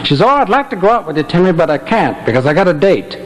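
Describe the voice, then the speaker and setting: A man's voice: high-pitched, sing-song, fast. A man telling jokes and stories on stage as an emcee, with a folksy, teasing way of setting up punchlines. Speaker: stage emcee and singer of a vocal group. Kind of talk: joking stage banter and storytelling to a live audience. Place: on stage before a live audience. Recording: noisy live hall recording with audience.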